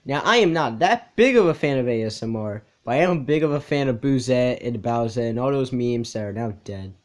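A man's voice: medium pitch, animated, brisk. Young man giving casual reaction commentary. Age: young adult. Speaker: host of a reaction video.

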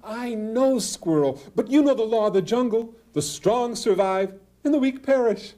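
A man's voice: very high voice, moderate pace, mellow, pitched above the performer's own voice. A man playing a smug, hungry big leopard. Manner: matter-of-fact, self-assured.

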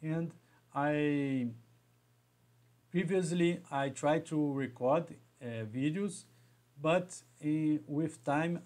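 A man in his thirties with a Brazilian Portuguese accent, speaking in a middle-pitched voice. He talks to live viewers slowly and haltingly, often repeating a word before going on. He sits at a webcam in a small room at home. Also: a little mellow. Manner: halting, with frequent restarts and repeated words. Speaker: engineering educator hosting a live session. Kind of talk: live stream talk to viewers.

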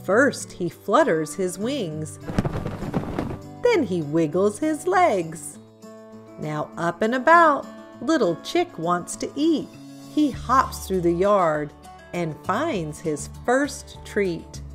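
A woman reading picture books aloud in an expressive, deliberate storytelling style for young children. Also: mid-range voice. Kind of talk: read-aloud picture book narration. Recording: music under the voice. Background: background music.

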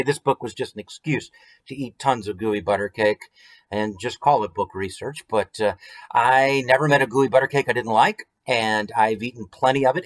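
A man's voice: medium pitch, low-quality recording. American man reading a children's picture book. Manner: expressive, conversational storytelling. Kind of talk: children's story time read-aloud with chatty asides. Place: small room at home, indoors.

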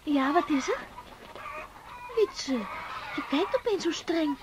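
A woman's voice: sits high, lively intonation, slow. An actress playing a caring, curious young girl in a 1960s radio drama, eager and girlish. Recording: noisy 1969 radio play recording.

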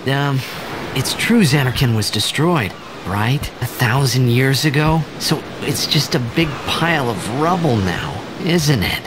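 Man playing an earnest boyish hero, animated pitch. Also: unhurried, background noise, natural voice. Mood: reflective. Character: earnest, unsure teenage boy athlete, displaced hero. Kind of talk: video game dialogue and narration. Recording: music behind the voice.

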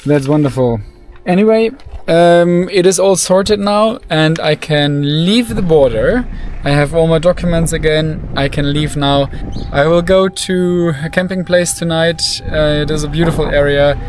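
A young man, a native German speaker, talking casually to a camera held at arm's length as he travels, calm and matter-of-fact. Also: a little high, unhurried, soft background music. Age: young adult. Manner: relaxed, matter-of-fact, chatty. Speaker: travel vlogger. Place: inside a vehicle and outdoors at a border. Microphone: handheld camera at arm's length.

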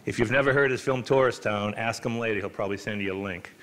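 An older man interviewing an author on stage, loud and chatty with joking asides. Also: lowish voice, fast talker. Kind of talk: onstage conversation. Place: stage of a hall with an audience. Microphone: handheld mic.

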